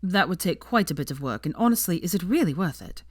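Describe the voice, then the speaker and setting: A woman's voice: lowish voice, animated, fast, husky, dry recording, clean studio sound. A woman voicing a dour, dismissive man with a weary, reluctant manner in a storybook reading.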